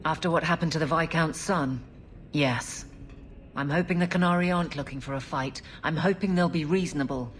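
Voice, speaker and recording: A woman playing a stern, dutiful female city guard captain in a video game, speaking in an earnest, firm, measured way. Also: sits low, a little hiss or hum, close to an everyday voice. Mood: dutiful, concerned.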